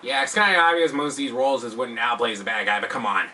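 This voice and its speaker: A bright-voiced man hosts a countdown video with animated, self-deprecating commentary. His pitch swings widely and sits a little high. He sounds somewhat distant from the microphone. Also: quick pace, slight background noise. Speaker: host of a video countdown show. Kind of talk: scripted video commentary with jokey asides.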